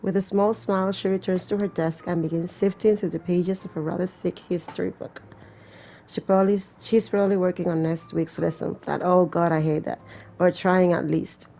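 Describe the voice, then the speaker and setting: A woman gamer reads game text aloud and drops in blunt, wry asides. Her voice is on the low side and mellow, with plenty of vocal fry. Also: level tone, moderate pace, a little hiss or hum, low-quality recording. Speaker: gaming video host. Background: faint game music.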